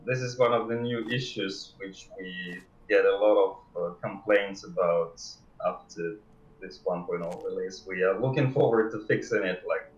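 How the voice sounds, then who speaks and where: Give a man with a fairly low voice who talks haltingly, as a developer in a casual livestream interview. He picks his way through phrases with pauses. The sound is dull, with clear room echo. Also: a little hiss or hum. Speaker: game developer interview guest.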